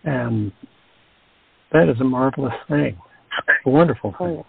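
An older man talking casually as an interviewed actor, deliberate and emphatic, repeating phrases for effect. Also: medium pitch, vocal fry, breathy edge, no echo. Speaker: radio drama actor being interviewed. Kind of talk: casual reflective chat. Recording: dry, tinny phone-like band.

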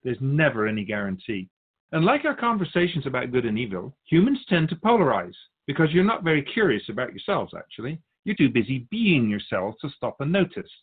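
Male spiritual teacher, mid-range pitch, measured delivery, assured and didactic, philosophical conversational style. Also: very animated, slightly rough, phone-quality sound. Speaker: spiritual guide answering questions.

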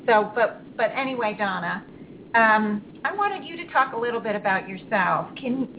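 Woman, radio host, chatty, stop-start, slightly high voice, thin phone-line sound. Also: expressive, normal speed, a little hiss or hum. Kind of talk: call-in radio chat.

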